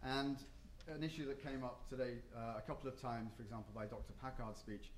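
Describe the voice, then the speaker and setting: A man talks at a conference panel in a hotel meeting room, pausing often with ums and restarting his sentences. His voice sits at a middle pitch and carries the echo of a roomy hall, with faint ambience behind it. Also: a little hiss or hum. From not Japan or the US. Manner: hesitant, many ums and restarts.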